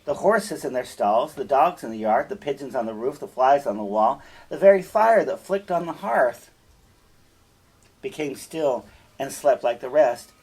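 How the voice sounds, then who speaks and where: A man with a husky voice, speaking as a teacher in an emphatic, deliberate storytelling style, checking in with listeners. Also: mid-range voice, animated, a little mellow. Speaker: teacher reading and explaining a fairytale. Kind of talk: storytelling read-aloud with explanatory asides.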